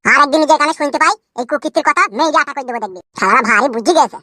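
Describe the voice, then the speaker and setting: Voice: high voice, racing, bright, forceful tone, dry recording. A performer voicing a cheeky, foul-mouthed village cartoon character with a rural West Bengal accent, arguing in brash slang. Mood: quarrelsome. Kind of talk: comic cartoon dialogue.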